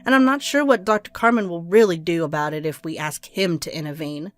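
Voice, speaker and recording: A woman's voice: lowish voice, brisk, no echo, lightly acted. Audio drama role: performer as a domineering, clinical woman doctor, impatient and scheming, faint music underneath. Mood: cold, calculating. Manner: curt, commanding, clinical.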